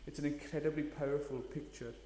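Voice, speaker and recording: Pastor in his 30s preaching, kinda high voice, lots of room echo. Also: steady tone, quick pace, warm tone, a little hiss or hum. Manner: earnest, emphatic preaching with stressed key words. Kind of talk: sermon. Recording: echoey hall, long reverb.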